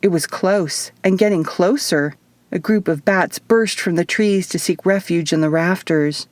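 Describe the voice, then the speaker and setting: Female audiobook narrator, fairly low voice. Measured, expressive first-person storytelling, dry recording. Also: no echo. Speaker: author reading own audiobook.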